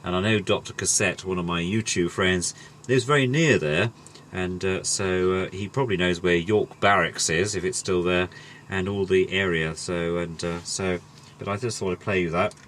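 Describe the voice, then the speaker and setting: A man's voice: low voice, fairly even intonation, moderate pace, fairly bright tone, a little hiss or hum. A middle-aged man chatting casually through a hobby demonstration, rambling and hesitant as he goes. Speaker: host demonstrating a vintage tape recorder.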